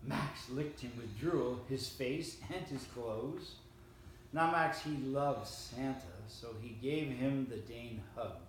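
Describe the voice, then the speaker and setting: A man reading a rhyming holiday poem aloud in a steady, measured way. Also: mid-range voice, slight background noise. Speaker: storyteller reading a Christmas poem. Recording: roomy, distant mic, faint ambience.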